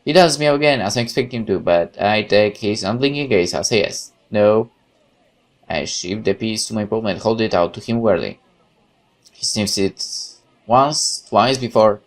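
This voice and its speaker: A man doing a let's play, reading game text aloud and adding chatty, expressive commentary. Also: lowish voice, moderate pace. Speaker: gaming YouTuber. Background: guitar music.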